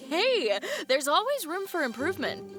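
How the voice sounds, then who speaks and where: A woman's voice: high-pitched, very animated, normal speed, music in the background. A woman voicing a sassy, playful young raccoon with a teasing, sardonic way of talking, in a cartoon audio drama. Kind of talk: animated audio drama acting.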